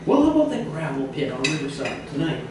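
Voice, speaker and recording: Man, storyteller, expressive live reading, heavy room echo, clear background noise. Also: a little high, fast talker. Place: echoey indoor bistro. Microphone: distant microphone in the room. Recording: roomy, echoey, noisy, distant mic.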